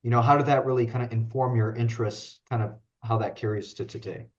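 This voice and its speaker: An easygoing male interviewer talks in a relaxed, casual way and keeps repeating quick affirmations. His voice sits at an ordinary pitch. The audio is dull and lo-fi. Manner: easygoing, repeats "gotcha" and "cool". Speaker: podcast host, interviewer.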